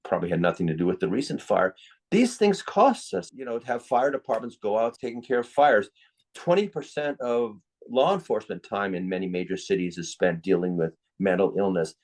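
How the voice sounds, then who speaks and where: A man's voice: on the low side, expressive, a little fast, a little mellow. A man with an American accent speaking as an expert guest in an interview, explaining his opinions in an earnest, emphatic and opinionated way. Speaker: guest, psychiatrist and author.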